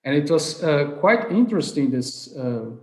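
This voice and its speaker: A man's voice: fairly high pitch, big pitch swings, moderate pace. A man in his thirties from southern Brazil, a non-native speaker giving an earnest online award lecture, full of hesitations and restarts. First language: Portuguese. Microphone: video call webcam. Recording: roomy video call audio.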